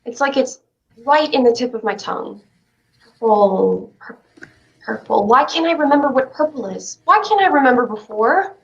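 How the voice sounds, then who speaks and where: A woman's voice: sits high, lively intonation, normal speed, gravelly. A woman playing an anxious, pleading child in a staged reading of a play. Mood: confused, troubled. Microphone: video call. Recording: muffled livestream call audio.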